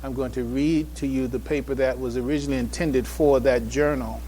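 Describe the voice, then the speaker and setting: A man giving a public address as a presenter, speaking in a halting way with repeated phrases and restarts. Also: medium pitch, steady tone, mellow, slight background noise. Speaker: conference speaker presenting a paper. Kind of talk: public address, informal preamble then reading a paper aloud. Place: public event before an audience.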